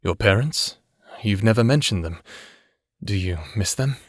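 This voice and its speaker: A man's voice: lowish voice, expressive, a little slow, no echo, clean studio sound. A man playing an earnest, principled prisoner in a fantasy audio drama, speaking in formal, careful words. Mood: weary, caring. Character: earnest, principled male prisoner, cautious and determined. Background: music.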